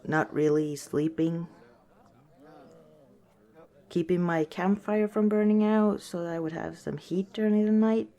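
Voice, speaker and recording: A woman voicing a gentle, wistful female orc tavern owner in a soft-spoken, hesitant ASMR roleplay. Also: lowish voice, measured pace, pauses often. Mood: confiding.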